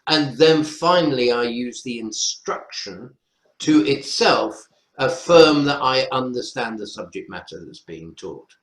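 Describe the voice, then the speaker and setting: A man's voice: mid-range voice, expressive, measured pace, a bit bright. North Welsh man in a roomy echo. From North Wales. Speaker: lecturer, webinar panelist. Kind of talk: explaining teaching practice in an online panel. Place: indoor room, online webinar. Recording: roomy echo on webinar audio.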